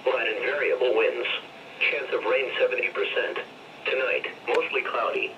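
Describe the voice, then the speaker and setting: Synthetic American male weather radio announcer, mid-pitched, measured scripted read, heard muffled from a distant radio speaker. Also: fairly even intonation, noisy background. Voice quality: synthetic text-to-speech voice. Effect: weather radio broadcast. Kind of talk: scripted weather bulletin reading. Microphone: radio receiver picked up by a camera mic.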